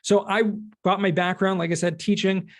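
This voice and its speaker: A man's voice: sits high, flat delivery, normal speed. A middle-aged man with an American accent, explaining things in plain words as a guest in a conversational interview. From California, United States. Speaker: podcast guest, cost segregation expert and former teacher.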